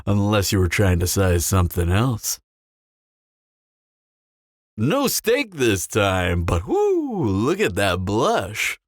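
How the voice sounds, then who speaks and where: A man's voice: on the low side, wildly swooping pitch, no room sound, clean studio sound, heavily acted. Man acting a smug, swooping flirtatious vampire. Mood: flirty, amused. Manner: teasing, smug drawl with dramatic pauses. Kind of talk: scripted audio roleplay, intimate character acting.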